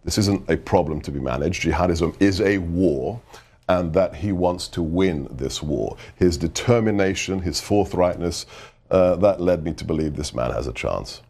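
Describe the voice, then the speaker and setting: Deep-voiced emphatic man. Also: moderate pace, a little mellow, slightly rough. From United Kingdom. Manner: emphatic, insistent, repeats for effect. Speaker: guest, former government adviser. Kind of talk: televised political interview.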